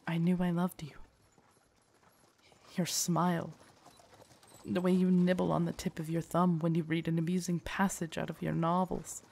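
A woman's voice: on the low side, darkish tone, close to an everyday voice. A woman playing a devoted, earnest female knight in an audio drama, speaking in a formal, courtly way that turns hesitant and shy. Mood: tender, confessing. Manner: formal, courtly, hesitant and stammering when flustered. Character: devoted female knight, tender, earnest, shyly lovestruck royal guard. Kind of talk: roleplay audio drama, intimate scripted monologue.

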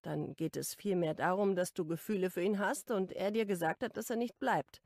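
A woman's voice: lowish voice, moderate pace, creaky, a little mellow, dry recording, studio quality. A woman voicing an easygoing, teasing young man in an audiobook, blunt and casual in manner. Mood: curious, direct.

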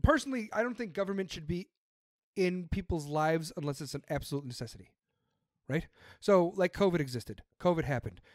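A man's voice: a little high, lively intonation, fairly quick, no echo, clean studio sound. A man on a podcast, ranting emphatically about politics with plenty of swearing. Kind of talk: casual political chat.

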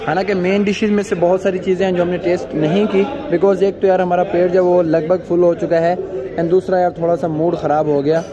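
A man's voice: high voice, flat delivery, fairly quick, highs cut off. Young Pakistani man in a noisy restaurant. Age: young adult. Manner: informal, mixes in English words. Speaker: food vlogger. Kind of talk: casual food review chat. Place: large indoor restaurant. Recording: loud restaurant chatter behind the voice. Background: busy crowd chatter.

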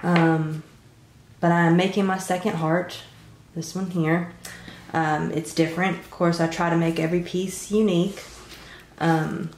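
A congested woman in her thirties with a low, gravelly voice talks through her craft pieces in a loose, rambling way, stopping often on "um". She sounds stuffed up from a cold. The room is echoey, with a faint hiss underneath. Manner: relaxed, rambling, many "um"s. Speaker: resin craft vlogger. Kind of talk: casual show-and-tell narration. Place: home craft table.

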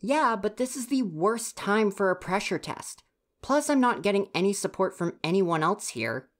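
A woman's voice: medium pitch, big pitch swings, normal speed, close to an everyday voice. A woman playing a grumpy, easily flustered university-age stagehand in an ASMR roleplay, huffy and exasperated, talking straight to the listener. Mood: defensive, opening up. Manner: huffy, exasperated, sighing scolding.

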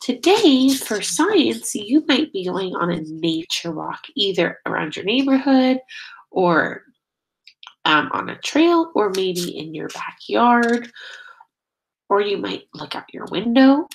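A woman's voice: medium pitch, sing-song, slow pace, gravelly, poor audio. A middle-aged woman reading a picture book aloud as a teacher, expressively, drawing out key words and prompting young listeners with questions. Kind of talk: children's read-aloud.

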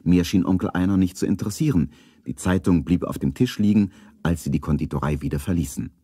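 A man narrating a children's story in a calm, scripted 1970s radio play style. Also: sits low, quick pace, husky, no echo, clean studio sound.

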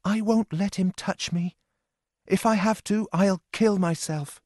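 A man voicing a sad, frightened young woman in danger, speaking softly and pleadingly. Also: high-pitched, a little slow, no room sound, clean studio sound, pitched above the performer's own voice. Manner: soft, pleading, whispered.

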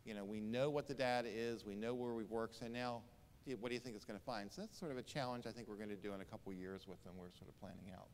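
An older man moderating a conference panel, friendly, with quick interjections. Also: on the low side, big-room sound, a little hiss or hum. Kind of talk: panel discussion. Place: large conference hall stage.

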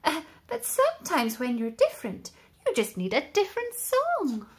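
A woman's voice: sits high, wildly swooping pitch, moderate pace, voice pushed up. A woman voicing a kindly, wise little male cricket in a storybook read-aloud. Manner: gentle, coaxing.

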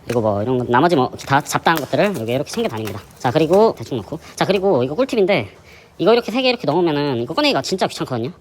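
A man with a hoarse voice from a sore throat, hosting a fishing channel and chatting through his gear in a casual, explanatory way. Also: fairly high pitch, big pitch swings, rapid-fire, a little hiss or hum. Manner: chatty, explanatory, many fillers. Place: indoor gym.

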